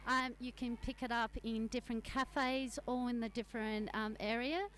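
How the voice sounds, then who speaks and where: A woman's voice: fairly high pitch, steady tone, normal speed, fairly bright tone, a little hiss or hum. Australian woman with a rambling radio chat. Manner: rambling, many fillers and restarts. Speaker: travel journalist, guest. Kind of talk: radio interview.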